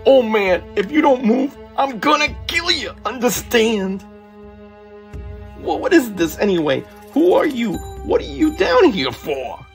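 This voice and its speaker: A man playing a hunted young crook, frantic and talking tough in rough street slang. The voice sits close to the reader's own, with soft music underneath. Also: very high voice, sing-song, measured pace, exaggerated voice. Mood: threatening. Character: desperate young male fugitive on the run.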